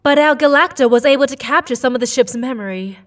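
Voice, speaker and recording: Lady as a worried scifi mom, high earnest voice, sounds away from the mic. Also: fast, recorded from a distance, close to an everyday voice. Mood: puzzled, concerned. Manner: earnest, insistent. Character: worried, determined alien mother on a space mission. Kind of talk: sci-fi audio drama acting.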